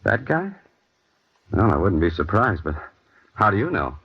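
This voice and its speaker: An actor playing an earnest, wary young ex-convict in an old-time radio drama, plain-spoken and slangy. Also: male voice, fairly low pitch, big pitch swings, normal speed, slight background noise. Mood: concerned. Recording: dull vintage radio drama recording, music.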